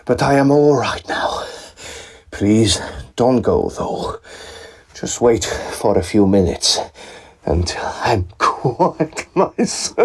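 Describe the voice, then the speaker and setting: A man playing a nervy, morbid young Egyptologist in an audio drama, halting and over-polite, with a husky voice. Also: medium pitch, very animated, full character voice. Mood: nervous, evasive. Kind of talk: acted audio drama dialogue.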